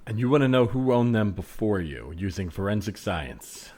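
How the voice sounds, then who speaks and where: A man's voice: lowish voice, sing-song, moderate pace, a little mellow. A man improvising a comedy scene as a patient, sceptical forensic scientist with a hesitant way of talking. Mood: patient, puzzled.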